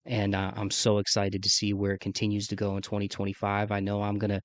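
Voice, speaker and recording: Low-voiced congested man, fairly even delivery. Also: quick pace, dry recording. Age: mid-30s. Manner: laid-back slangy talk, says "man" often. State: congested from sinus trouble, voice deeper than usual. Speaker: podcast host. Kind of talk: casual solo podcast intro, chatty.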